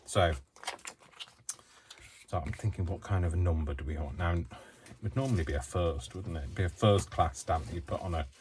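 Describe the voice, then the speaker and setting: Deep-voiced British man, rambling and giggly. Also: normal speed, pauses often, a little mellow, slight background noise. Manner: rambling, self-deprecating, giggly. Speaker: craft vlogger. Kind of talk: chatty crafting tutorial.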